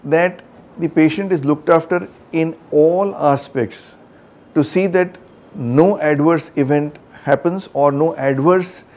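A man's voice: fairly high pitch, takes it slowly, a little mellow. A middle-aged man with an Indian accent, a senior doctor explaining to camera. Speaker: senior doctor, hospital director. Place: book-lined office indoors.

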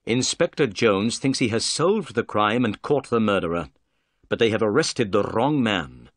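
A man voicing a calm, polite private detective in an audiobook, with an assured, authoritative manner. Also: lowish voice, moderate pace, no echo. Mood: decisive.

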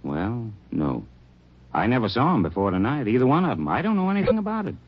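A husky American hotel manager in a vintage radio crime drama. He is defensive and folksy, with lively swings of pitch. The recording is dull and aged. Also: fast. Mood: uneasy, defensive. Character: defensive, chatty male small-hotel manager. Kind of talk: mid-century radio drama acting. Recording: muffled old radio recording.

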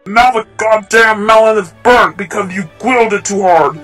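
Lady doing a gruff short-fused cartoon band boss, unhurried talk, faint music behind. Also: mid-range voice, fairly bright tone. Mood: furious. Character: hot-tempered, gruff leader of a cartoon band.

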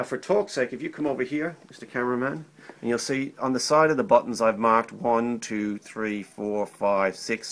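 A man talking as an electrician through an informal how-to demonstration, conversational, repeating himself and often checking in with a short "right?". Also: lowish voice. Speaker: electrician demonstrating intercom setup. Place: indoors at a work table. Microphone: handheld camera held by another person, a short way off.

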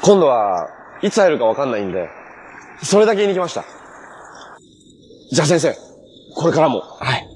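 A man's voice: fairly high pitch, big pitch swings, fairly quick, pauses often, a little mellow. An actor playing a cheerful, earnest young male hero in a TV drama, talking politely and upbeat. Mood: warm, resolute. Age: young adult. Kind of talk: live-action TV drama acting. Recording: TV soundtrack with faint noise.

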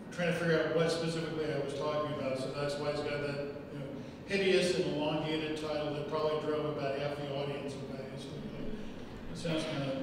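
A man's voice: fairly high pitch, background noise. Middle-aged male lecturer, wry and chatty, podium mic in a reverberant hall. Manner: wry, self-deprecating, conversational. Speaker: guest lecturer. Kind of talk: public lecture. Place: large hall with arched windows. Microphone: podium microphone. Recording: echoey hall, far from the mic.

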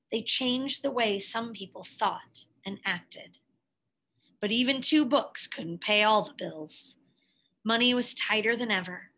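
A woman reading a picture book aloud expressively, in a measured way with dramatic pauses and emphasis. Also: fairly high pitch, a bit bright, rough recording. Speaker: read-aloud storyteller, teacher.

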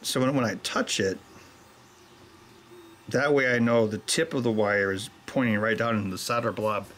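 A man's voice: lowish voice, fairly even intonation, moderate pace, a bit bright. A middle-aged man at a workbench, explaining his soldering in a chatty way and drifting into joking tangents. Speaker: electronics maker and show host. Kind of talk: casual explanation while working.

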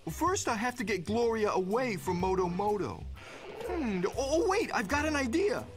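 An actor playing an anxious, lovesick giraffe in a video game, with a nervous, fretful manner. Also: male voice, sits high, normal speed, soft background music. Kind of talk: video game character acting.

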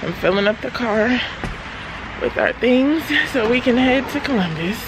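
A young woman chatting casually to a vlog camera, sounding very tired, her voice sunk lower than usual. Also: lively intonation, normal speed. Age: young adult. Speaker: vlogger, PhD graduate. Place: outdoors by a house and in a car. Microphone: handheld camera at arm's length. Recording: noisy handheld camera outdoors and in car.

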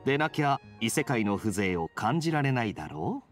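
A man acts as a suave young knight from an anime game. He is smooth and wryly playful, with very lively pitch swings over background music. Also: moderate pace, natural voice. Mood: casual. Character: suave, teasing young male knight, relaxed. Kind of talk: Japanese anime game voice acting.

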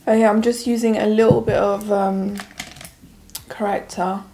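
Chatty young woman with a croaky morning voice. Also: medium pitch, little pitch movement, normal speed, mellow, soft background music. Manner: chatty, rambling, addresses viewers as guys. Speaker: beauty vlogger. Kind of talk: casual makeup review talking to camera. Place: plain indoor room with plants.

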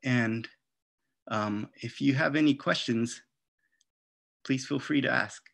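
A man's voice: mid-range voice, slow pace, vocal fry, a bit bright. A middle-aged man, a children's author, reading expressively and chatting casually over a video call. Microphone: video call microphone.

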